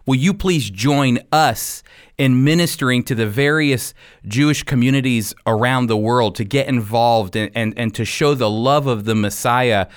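A man with a smooth, resonant voice hosts a faith-based radio program. His pitch sits in the middle for a male speaker, and his delivery is earnest, with plenty of emphasis. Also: normal speed. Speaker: radio show host.

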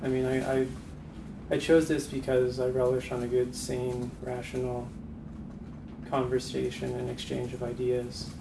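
Man, flat intonation, mid pitch, halting remarks, roomy echo, meeting participant. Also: gravelly. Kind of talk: halting personal remarks in a group discussion. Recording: distant mic, echoey noisy room.

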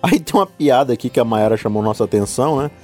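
A man's voice: medium pitch, fast talker, soft background music. A man co-hosting a casual Bible discussion podcast, joking and acting out lines from the story. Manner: jokey, animated, acts out quotes. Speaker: podcast co-host.

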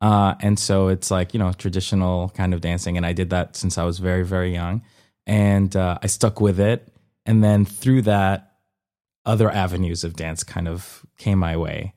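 A young man with a fairly low voice, speaking as a guest in a relaxed interview. He is from Colombia and tells personal stories in an informal, halting way, often restarting and rewording as he goes. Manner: informal, hesitant and self-correcting, often seeking agreement with "you know". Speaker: interview guest, comedian and former dancer. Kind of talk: casual conversational interview, personal storytelling.

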